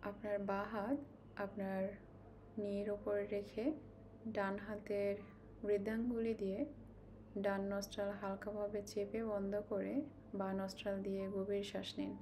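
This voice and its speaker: A woman leads a guided meditation in a mid-pitched, very even voice. She gives calm, slow instructions and leaves long pauses between them. Clear ambient noise sits behind her voice.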